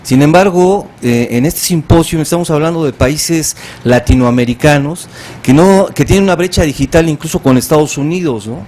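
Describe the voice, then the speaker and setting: A man speaking as a panelist at an academic symposium, measured and thoughtful, with frequent filler pauses. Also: on the high side, a little mellow. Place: conference hall.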